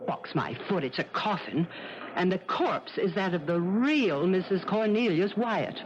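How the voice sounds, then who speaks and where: An actress in a 1970s radio drama playing a skeptical, suspicious wife, loyal but sharp-tongued and emphatic. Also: female voice, on the low side, animated, measured pace. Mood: grimly certain. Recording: muffled, noisy old radio broadcast.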